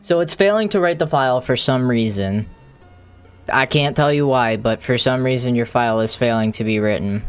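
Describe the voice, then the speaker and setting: A streamer thinking aloud in a halting, casual way while doing live coding commentary, with an unclear, mid-range voice. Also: medium pitch, clear, resonant tone. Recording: music playing behind the voice. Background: music.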